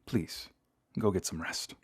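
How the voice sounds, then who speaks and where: A man voicing a proud, seductive vampire lord in a scripted audio roleplay, speaking in a theatrical, deliberate and intimate way. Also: very noisy, natural voice. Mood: soft, tender. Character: seductive, menacing vampire lord, proud and secretly tender toward lost love.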